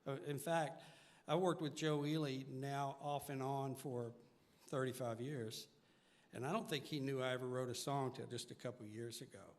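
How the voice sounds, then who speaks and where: A man's voice: mid-range voice, steady tone, moderate pace. A middle-aged or older man, a singer-songwriter, chatting casually as an interview guest. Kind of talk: casual live interview chat. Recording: live hall reverb, faint music.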